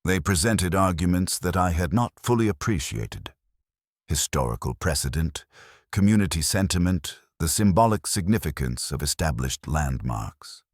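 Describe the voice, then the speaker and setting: Guy as a tired defensive town mayor, really low voice, formal and evasive, mystery drama. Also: lively intonation, moderate pace, no room sound, studio quality. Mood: weary, chastened. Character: weary, defensive male small-town mayor in mystery story.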